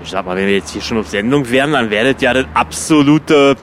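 A man's voice: medium pitch, lively intonation, fast, fairly bright tone, a little hiss or hum. A man talking casually in a loose, colloquial conversation with others. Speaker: radio show participant.

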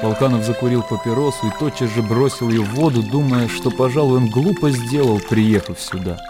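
A man reading literary narration for a radio drama in a composed, measured storytelling manner. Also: medium pitch. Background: soft music.

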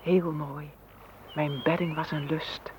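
Talking stream, wistful, musing, fairly high male voice, poetic radio play, noisy recording. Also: flat delivery, takes it slowly. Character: wistful, anxious flowing stream, proud of its past. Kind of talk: Dutch radio play acting.

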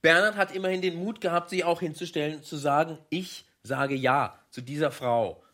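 An actor playing a sensitive, faithful married man in an improvised radio comedy, earnest and halting, repeating himself. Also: on the high side, fairly bright tone, lightly acted. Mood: earnest, hurt.

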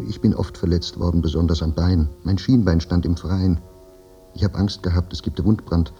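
An actor playing a maimed, anguished soldier, speaking a brooding, plaintive and macabrely frank monologue in a radio drama. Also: male voice, deep voice, dark tone. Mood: agitated, bitter. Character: maimed, anguished male war soldier confessing his fate. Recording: muffled radio drama recording, music behind.

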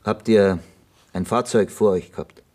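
An actor playing a persistent police detective in a German radio drama, questioning in measured, insistent short sentences. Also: male voice, fairly low pitch, steady tone, warm tone, natural voice. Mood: insistent, matter-of-fact. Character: probing, persistent male police detective inspector.

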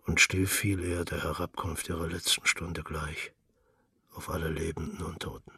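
A man narrating a literary radio drama as a brooding, melancholy storyteller, solemn and measured, with a husky, gravelly voice. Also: extremely low pitch, flat delivery, pushed and bright, no room sound, studio quality, far from the mic, full character voice. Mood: quiet, elegiac.

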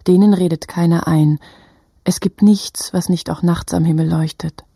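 A woman narrating a literary radio drama in a husky, breathy voice, with halting, fragmented phrasing. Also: fairly low pitch, steady tone, mellow, no room sound, studio quality.

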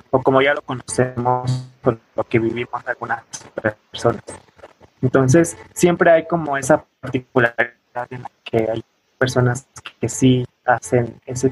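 A man's voice: medium pitch, slight background noise, rough recording. Young Mexican man in conversational interview. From coastal Oaxaca, southern Mexico. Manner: explanatory, often ending phrases with a questioning tag. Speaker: podcast guest, student and weaver.

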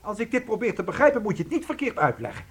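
Casting: cheerfully resigned husband, radio drama, placating, highly animated delivery with a rasp. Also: male voice, very fast, heavily acted. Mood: calm, placating. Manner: theatrical, placating. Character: loving 34-year-old husband, cheerfully resigned to shrinking. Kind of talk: radio play acting.

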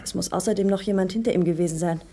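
A woman playing a shaken young woman, a doctor's kidnapped daughter, speaking softly and tentatively in an old radio crime play. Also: fairly low pitch, rapid-fire. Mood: recounting. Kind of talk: 1960s German radio play acting.

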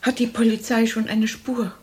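A woman's voice: on the high side, flat delivery, moderate pace, darkish tone, recorded from a distance, close to an everyday voice. A woman acting a shaken, uneasy visitor in a radio crime drama, hesitant and apologetic as she probes. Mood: uneasy questioning. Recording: echoey hall, away from the microphone.

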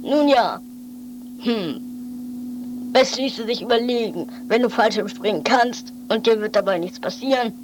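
A woman's voice: a little high, measured pace, noisy background, lightly acted. A woman playing a weary, bossy but protective guardian in an improvised radio play, grumpy and dismissive. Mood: doubtful.

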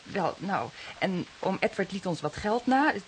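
An actress with a Dutch accent playing an anxious young woman in a radio play, speaking haltingly and repeating her words. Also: medium pitch, sing-song, a little hiss or hum, rough recording. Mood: earnest, flustered. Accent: Dutch, from the Netherlands. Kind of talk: radio drama acting.

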